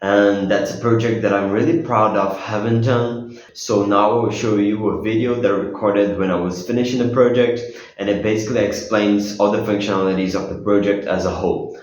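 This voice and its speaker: Low-voiced teenage guy presenting. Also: fairly even intonation, a little fast, darkish tone, lo-fi sound. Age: teens. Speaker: student inventor presenting own project. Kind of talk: explanatory project demo.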